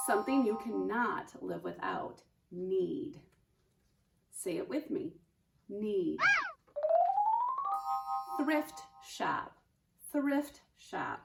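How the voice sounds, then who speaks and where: A woman's voice: a little high, creaky. A woman teaching children vocabulary in an encouraging way, repeating words for them to say back, recorded roomy and distant with music. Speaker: teaching artist, educator.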